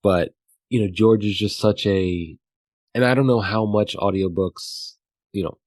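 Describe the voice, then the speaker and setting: A man co-hosting a podcast, talking casually in a halting, hesitant way and qualifying himself. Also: fairly low pitch, steady tone, a little mellow, no echo. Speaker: podcast co-host.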